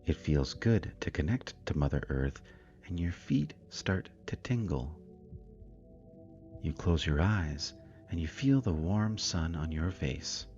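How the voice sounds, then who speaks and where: Man, very low voice, calm guided meditation narration, unhurried, soft music behind, slightly dull recording. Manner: calm, soothing, deliberate phrasing. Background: meditation music with singing bowls.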